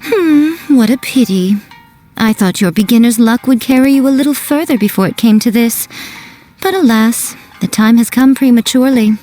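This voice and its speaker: A woman's voice: on the high side, moderate pace, mellow, soft background music, close to an everyday voice, low-quality recording. A woman voicing a sly, teasing shrine priestess who runs a publishing house, in a video game. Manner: teasing, playful, superior.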